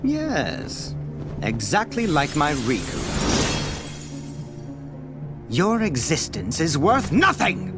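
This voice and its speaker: A haughty game villain with a high voice that swoops dramatically. He draws his words out slowly in theatrical contempt, over clear background music. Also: huge pitch swings, heavily acted. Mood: scornful menace. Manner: theatrical, drawn-out, contemptuous delivery. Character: haughty cold villain scientist, pleading when cornered. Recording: music behind the voice.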